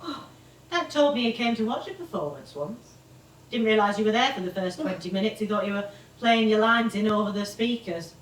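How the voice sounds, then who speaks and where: An actress playing the exasperated but caring grown daughter of an aging performer in a stage drama. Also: female voice, mid-range voice, expressive, a little fast, slight background noise, lightly acted. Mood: light, caring. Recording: echoey theatre, distant mic.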